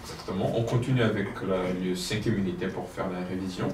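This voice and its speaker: A man runs a French drill, patiently prompting and confirming each answer. His voice sits on the low side. He is recorded from a distance in an echoey room with a faint hiss behind. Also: steady tone, a little fast. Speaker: French teacher. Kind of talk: language lesson drill. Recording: roomy, distant mic, faint noise.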